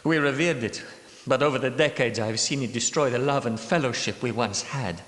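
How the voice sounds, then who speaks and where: Man voicing a bitter ancient knight guardian, world-weary and measured, echoey reverberant room. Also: medium pitch, fairly bright tone, natural voice. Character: bitter secretive male knight guardian, centuries old. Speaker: game character. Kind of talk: game character voice lines.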